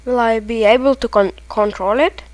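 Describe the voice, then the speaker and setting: A woman from Lithuania with a Lithuanian accent, casually commenting while she plays a video game and reacting excitedly to it. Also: on the high side, normal speed, no echo. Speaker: let's play gamer.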